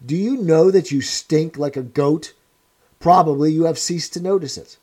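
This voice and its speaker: A cold, measured male interrogator, voiced by a man reading a story aloud. He speaks with quiet, commanding contempt. His voice is mellow and sits a little high. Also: natural voice. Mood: contemptuous.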